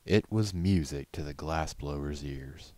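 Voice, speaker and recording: A man reading a story aloud as narrator, in a measured, solemn, dramatic audiobook style. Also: a bass voice, mellow.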